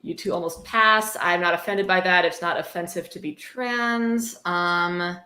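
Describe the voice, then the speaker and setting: A woman's voice: fairly low pitch, measured pace, sharp tone. A woman hosting a mocking livestream book reading, sarcastic, irreverent and profane, breaking off into casual commentary. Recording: echoey room.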